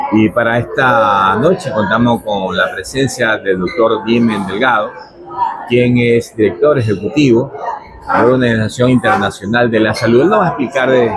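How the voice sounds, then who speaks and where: A man, interviewing a guest in a café and often stumbling over and repeating his words. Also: mid-range voice. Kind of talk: local interview. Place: café during a power cut. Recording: loud background noise.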